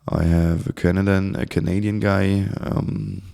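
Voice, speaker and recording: A man's voice: deep voice, flat delivery, measured pace, creaky, mellow. A German man speaking English with a German accent, as a guest in a casual interview, rambling and searching for words. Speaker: guest, community founder.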